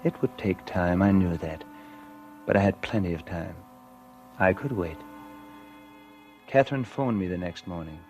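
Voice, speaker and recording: A man's voice: sits low, unhurried, pauses often. An actor playing a guilty, scheming man who confides his crime in 1940s radio suspense style. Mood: smug, confiding. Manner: confessional first-person narration. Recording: vintage 1940s radio with loud music.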